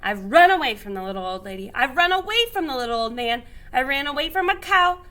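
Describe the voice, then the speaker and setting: A woman's voice: high-pitched, animated, quick pace, pushed and bright. A woman voicing a cheeky, boastful little gingerbread boy in a storybook, chanting his taunts in a sing-song way.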